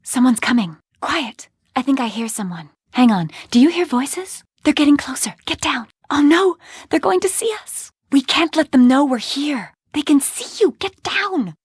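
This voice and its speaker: A woman's voice: sits high, breathy edge, no echo, clean studio sound, heavily acted. An actress playing an anxious, urgent woman lookout in a game. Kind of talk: game voice acting.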